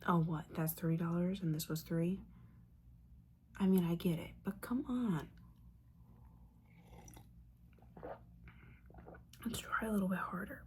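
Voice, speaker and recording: A woman's voice: lowish voice, fairly even intonation, moderate pace, a little mellow, a little hiss or hum, natural voice. A woman playing a disappointed, sarcastic witch mentor in an ASMR roleplay, whispering breathily in a casual, sweary, scolding manner. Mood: exasperated, nagging.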